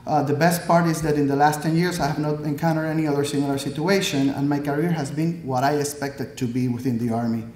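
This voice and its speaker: A Puerto Rican-accented man in his thirties reads a prepared speech from the podium in a measured, earnest way, with a filler here and there. His voice sits a little high for a man. The hall around him rings with a clear echo. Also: steady tone, a little mellow. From Puerto Rico. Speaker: Army pathologist, guest speaker. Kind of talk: prepared speech read from notes. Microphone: podium microphone. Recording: echoey hall.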